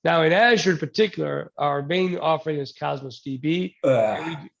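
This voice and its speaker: A man getting over a cold, giving a relaxed technical presentation to a user group over a video call, with plenty of ums and restarts and the odd joke. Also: on the high side, normal speed, vocal fry, lo-fi sound. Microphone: new desk mic, then a headset.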